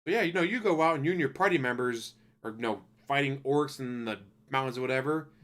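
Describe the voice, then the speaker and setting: A man giving a loose, profane spoken review of an anime, ranting casually and acting out characters in quick asides. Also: mid-range voice, a bit bright.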